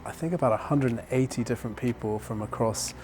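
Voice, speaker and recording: A man's voice: mid-range voice, quick pace, a little mellow, a little hiss or hum. A man interviewing a university leader in a friendly, casual manner. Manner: friendly, casual, some fillers. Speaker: interviewer, company director. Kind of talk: conversational interview.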